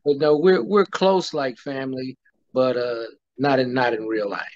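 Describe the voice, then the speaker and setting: A man's voice: medium pitch, fairly even intonation, moderate pace, a little mellow, no room sound, lo-fi sound. A man from Indianapolis, an R&B singer, answering interview questions in a wry, amused way. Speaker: R&B singer, guest.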